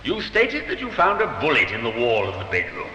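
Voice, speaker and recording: A probing defense barrister in an old radio courtroom drama. His forceful, highish voice moves theatrically up and down. It echoes on a muffled recording. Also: wildly swooping pitch, brisk, a little hiss or hum. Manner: probing, theatrical cross-examination. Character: sharp, insistent male defense barrister. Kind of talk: 1950s radio drama acting.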